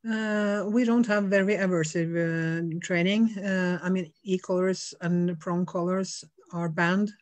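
Middle-aged woman, Norwegian accent, non-native English, frank and relaxed, home video call. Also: lowish voice. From Norway. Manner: frank, laughs easily, unhurried. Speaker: dog trainer guest. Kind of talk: casual video call interview. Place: home room with shelves.

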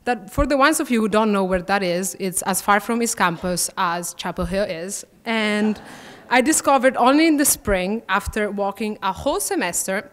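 Young Italian woman in a reverberant hall. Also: medium pitch. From Italy. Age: 20s. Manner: warm and self-deprecating with light humor. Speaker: student athlete. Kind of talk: prepared speech at a dinner. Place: large banquet hall, at a podium.

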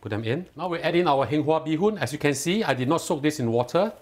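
A man's voice: on the high side, expressive, quick pace. A man with a Singaporean English accent talking viewers through a home recipe step by step, instructive and lightly enthusiastic. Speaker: cooking channel host. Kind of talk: cooking tutorial voice-over. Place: home kitchen.

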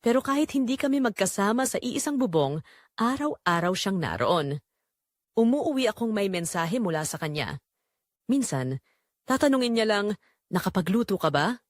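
A woman's voice: mid-range voice, sing-song, moderate pace, long pauses, no echo, studio quality. A young woman with a Filipino accent narrating a scripted romantic drama in the first person, earnest and reflective.

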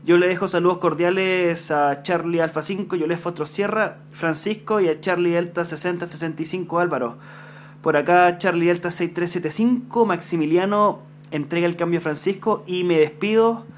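A man's voice: sits high, moderate pace, slight background noise. A man with a Chilean accent, chatting on amateur radio from home and giving call signs. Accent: Chilean Spanish. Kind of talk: ham radio chat. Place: home room at a radio desk.

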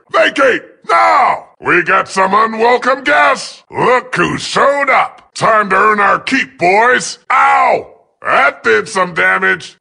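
An actor playing a brash, scrappy robot soldier in a video game, barking punchy combat lines in a strained, raspy voice. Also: male voice, sits high, exaggerated voice. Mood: tense, irritated. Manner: shouted, punchy battle barks.

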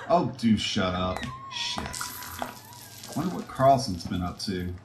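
A man's voice: sits low, huge pitch swings, slow, over loud music, full character voice. A man playing a foul-mouthed, paranoid, scheming scientist in a theatrical sci-fi audio drama, talking with gloating menace. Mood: irritated, smug. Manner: muttering to himself, theatrical, swinging between glee and panic. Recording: roomy echo with background noise.

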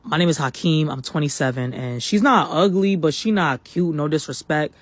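A woman playing several blunt, petty young men on a dating show, in a lowered voice. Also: normal speed, breathy edge, no room sound. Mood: deadpan. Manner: lowered voice, blunt, deadpan excuses. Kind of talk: comedy sketch.

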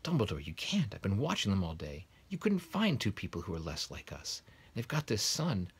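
Man voicing a prim, breathy older witch professor. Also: sits low, wildly swooping pitch, moderate pace, heavily acted. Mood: shocked. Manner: prim, sharp, insistent.

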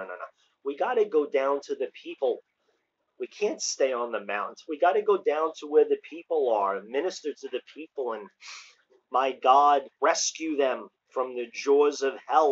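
A man's voice: medium pitch, slightly rough, muffled recording. A middle-aged American man preaching informally as an evangelist, exhorting emphatically in colloquial language into a device microphone on a covered porch. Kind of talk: informal online sermon with scripture readings. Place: outdoors on a covered house porch. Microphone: phone or webcam at arm's length.

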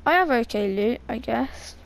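A woman with a croaky, gravelly voice, coughing now and then, casually commenting on her own video game play. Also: a little high, expressive, normal speed, low-quality recording. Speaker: gameplay streamer.

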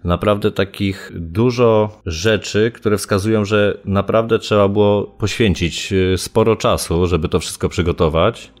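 A man with a very low voice talks through a criminal case as an interviewed commentator. His speech is unscripted and hesitant, often broken by filled pauses as he explains. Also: soft background music.

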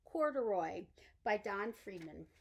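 A woman's voice: mid-range voice, animated, slow pace, vocal fry, husky. A middle-aged woman, reading a children's bedtime story expressively. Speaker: storybook reader. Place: indoor room lined with bookshelves.

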